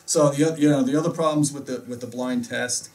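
Middle-aged American male host, casual explainer, emphatic and opinionated, average pitch, distant room mic. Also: steady tone, brisk, a little mellow. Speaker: host and audio reviewer. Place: small indoor home theater room.

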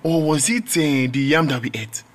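A man's voice: a little high, animated, normal speed. An actor with a Nigerian accent playing a weak, timid young male student, hesitant and plaintive, in a radio drama. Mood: groggy, worried.

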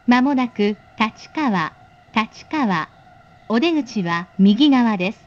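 A woman reading a scripted train announcement in a polite, formal, clearly enunciated manner. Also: on the high side, animated, slow pace, lo-fi sound.

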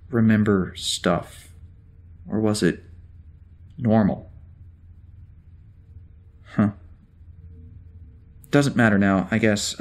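A man playing an anxious, confused spaceship security officer with amnesia in a science-fiction audio drama, hesitant and halting as he mutters to himself. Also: fairly low pitch, a little mellow.

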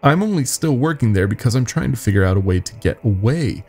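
A man voicing a nervous young company worker confessing secrets in a halting, grave horror-story telling. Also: medium pitch, animated, warm tone, husky, faint music behind, voice pushed up. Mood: uneasy.

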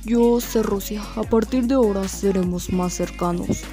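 A woman voicing a brooding, earnest, halting young Russian man in a fan-dubbed comic. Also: mid-range voice, mellow, faint music behind, lightly acted. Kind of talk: fandub comic voice acting.

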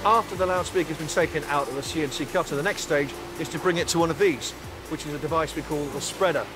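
A man in his forties leading a tour of a large factory floor, explaining each production step in a calm, clear way. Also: fairly high pitch, fast. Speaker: factory guide. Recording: music bed under voice.

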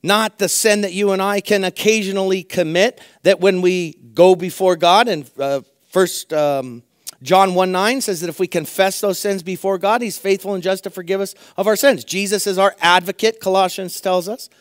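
A middle-aged man preaching a sermon from a church pulpit, his voice hoarse, earnestly repeating phrases for emphasis. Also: high voice, fairly even intonation, moderate pace, no echo, clean studio sound. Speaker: pastor. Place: church sanctuary at a pulpit, indoors.

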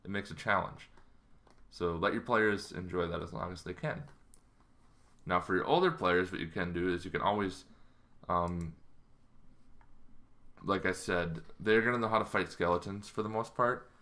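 A man in his thirties giving a casual, rambling explainer to camera, halting as he goes, in a small bedroom. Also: low-pitched, pushed and bright. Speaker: host of a tabletop roleplaying channel.

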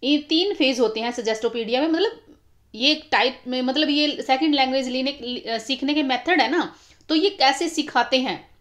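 A woman's voice: high voice, normal speed, a bit bright. Woman teacher explaining exam answers. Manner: explanatory, repeats key terms, mixes English titles into Hindi. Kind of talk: explaining exam questions over annotated screen.

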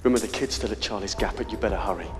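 A man's voice: on the low side, very fast, close to an everyday voice. A man playing a hard-bitten suspended detective in a video game, terse and commanding. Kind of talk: video game cutscene acting. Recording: echoey reverb with music over it.

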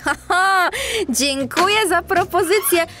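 A woman's voice: very high-pitched, slow, sharp tone, exaggerated voice. An actress playing a cool, proud female animal police officer in a children's audio drama.

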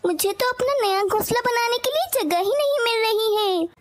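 Tiny squeaky cartoon mother bird. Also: normal speed. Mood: worried. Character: small anxious, good-hearted female mother bird. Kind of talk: Hindi children's cartoon dialogue.

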